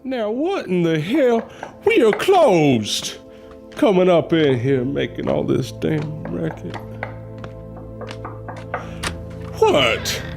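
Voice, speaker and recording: A man's voice: on the high side, sing-song, slow pace, gravelly, darkish tone, soft background music, exaggerated voice. A man playing a courtly but crotchety small-town mortician in an audio drama, with a Southern US accent and a folksy, hammy drawl. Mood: annoyed.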